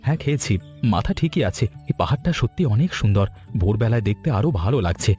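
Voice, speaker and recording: A man's voice: lowish voice, big pitch swings, fast talker, dark tone, soft background music. A man playing a polite, secretive city guest in a cartoon story, speaking hesitantly. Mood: reflective, polite.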